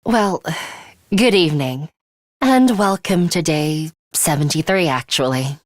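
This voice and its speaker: A woman voicing a coolly pragmatic, blunt young female fighter in a video game, speaking in a measured, serious, clipped way. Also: sits low, expressive. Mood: calm, clinical. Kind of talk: English video game voice acting.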